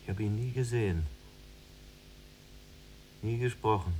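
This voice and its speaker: An actor as a mild, lowish-voiced male prisoner. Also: unhurried, slight background noise, close to an everyday voice. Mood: pensive, mild. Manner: gentle, reasoning. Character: mild, conciliatory younger male prisoner, polite and hesitant. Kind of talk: German radio drama acting.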